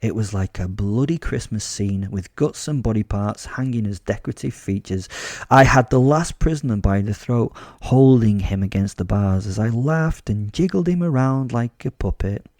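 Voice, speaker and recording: A gravelly British werewolf, played by a man, tells his horror story in a dark, mellow voice. He is a sardonic, unhinged serial killer who swears freely and savours every bit of gore, recorded close and dry for audio drama. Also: on the low side, animated, moderate pace, no room sound. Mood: wry, savouring. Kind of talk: first-person horror audio drama narration.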